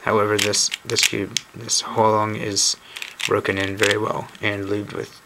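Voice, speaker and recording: Hoarse male vlogger, very low gravelly voice, relaxed and unsure, home camera audio with a noisy background. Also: a little slow. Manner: relaxed, thinking aloud with mild uncertainty. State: hoarse, recovering from lost voice. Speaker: cube channel host. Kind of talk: casual unboxing commentary. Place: indoors at a wooden table. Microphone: camera mic on a tabletop shot of hands.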